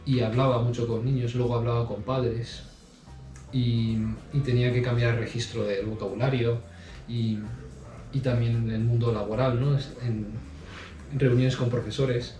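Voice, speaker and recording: A man answering interview questions in a relaxed way, with lots of pauses and restarts. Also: mid-range voice, far from the mic, soft background music. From Spain. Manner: hesitant, many fillers and restarts. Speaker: interview guest. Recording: roomy sound from off mic.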